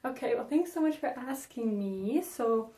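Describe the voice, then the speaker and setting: A woman's voice: fairly high pitch, lively intonation, moderate pace, dark tone, echoey room. A young woman who grew up speaking Danish, answering viewer questions in a chatty vlog, with frequent ums and likes. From Denmark. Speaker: vlogger. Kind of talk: casual Q&A vlog.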